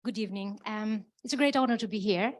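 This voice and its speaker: A woman's voice: mid-range voice, quick pace, no echo. A woman presenting journalism awards, speaking earnestly with frequent hesitations. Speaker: jury member presenting awards. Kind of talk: awards announcement.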